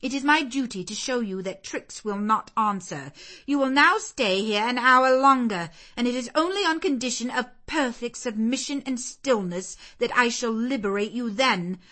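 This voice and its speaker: A woman's voice: fairly high pitch, moderate pace, sharp tone, pitched above the performer's own voice. A cold, commanding lady of the house from a storybook, issuing clipped orders in a raised, severe voice, as a woman reader puts her on. Every word lands as a command. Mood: cold, stern. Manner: peremptory, commanding. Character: stern, cold older woman, mistress of the house.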